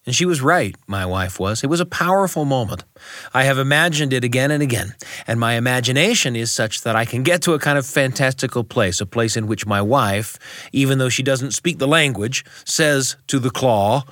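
A middle-aged man telling a personal story as a scripted monologue, reflective and deliberate, speaking in short phrases with pauses. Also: mid-range voice, a bit bright, dry recording, clean studio sound.

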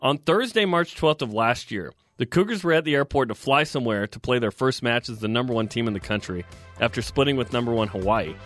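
A man hosting a sports talk radio show, recapping results and reading trivia in a steady, informative way. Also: mid-range voice, brisk, no echo, faint music behind.

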